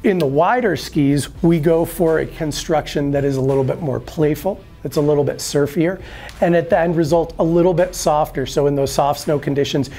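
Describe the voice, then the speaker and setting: A man's voice: on the high side, a little mellow. A man in his forties giving an upbeat scripted product walkthrough in a showroom, explaining and gesturing as he talks, on a lapel mic. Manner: upbeat, explanatory, gestures at skis while talking. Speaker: brand product presenter. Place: ski shop showroom, indoors.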